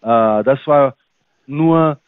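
A man's voice: mid-range voice, clear, resonant tone, no room sound. Hesitant man on a tinny phone line. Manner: halting, hesitant, broken German. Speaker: scam caller posing as account adviser.

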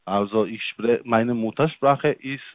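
A man's voice: mid-range voice, no echo. Hesitant man on a tinny phone line. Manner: halting, hesitant, broken German. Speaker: scam caller posing as account adviser.

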